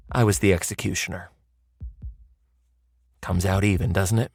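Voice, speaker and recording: An actor playing a sardonic dead ex-convict in a radio mystery, talking wryly and slangily to the listener as a pal. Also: male voice, deep voice, steady tone, takes it slowly, creaky, a little mellow, heavily acted. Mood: coolly menacing. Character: sardonic dead ex-convict telling his own murder story. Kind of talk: old-time radio noir monologue.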